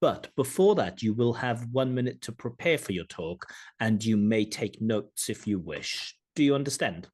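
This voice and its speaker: A man working as a speaking examiner, asking scripted questions in a measured, formal way over a video call. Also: on the low side, lively intonation, a little mellow, husky.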